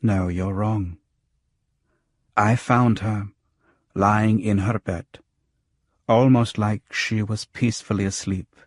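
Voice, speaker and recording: A shaken, frightened woman in an audiobook , played by a male narrator, speaks gravely and urgently. The voice is low and husky, recorded very dry. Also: fairly even intonation, takes it slowly, pauses often, dry recording. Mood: grave, horrified. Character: shaken, frightened woman recounting a friend's murder. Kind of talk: audiobook character dialogue.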